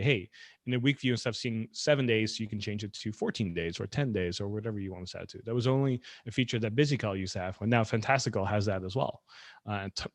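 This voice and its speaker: A man's voice: lowish voice, no echo. A man from the Netherlands co-hosting a podcast, chatting casually with plenty of fillers. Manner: conversational, loose, with many fillers. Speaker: podcast co-host.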